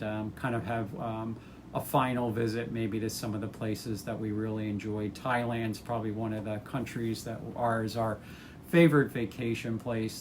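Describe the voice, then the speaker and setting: A retired man in his sixties, a former chemist, talking casually to the camera about his plans, thoughtful and unhurried, with many ums and restarts. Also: lowish voice, noisy background. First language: English.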